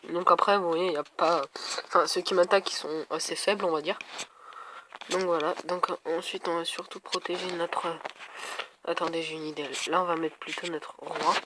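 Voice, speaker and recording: A man's voice: a little high, steady tone, normal speed, background noise. Man with a head cold, thinking aloud. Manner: thinking aloud, hesitant, talks to viewers. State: stuffed up with a cold. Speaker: gaming video creator. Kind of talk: casual gameplay commentary.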